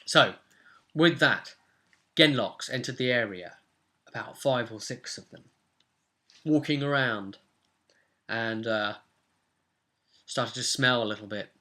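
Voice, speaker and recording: A man's voice: medium pitch, slow, long pauses, sharp tone. A young man casually recapping a tabletop role-playing session as game master. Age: young adult. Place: small furnished room at home.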